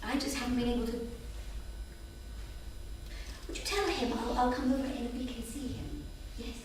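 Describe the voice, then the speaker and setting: A woman's voice: a little high, steady tone, normal speed, lightly acted. A woman acting an affectionate but evasive mother in a staged reading, gushing and hesitant. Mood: awkward, wistful. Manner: gushing, hesitant, repeating herself. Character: flighty, affectionate, evasive mother who left her family. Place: theatre stage before an audience. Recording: echoey theatre from far off, faint noise.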